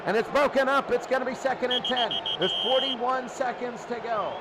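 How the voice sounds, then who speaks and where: A man doing excitable play-by-play commentary on American football, repeating phrases for emphasis. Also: extremely high pitch, normal speed. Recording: loud crowd and ambient noise behind.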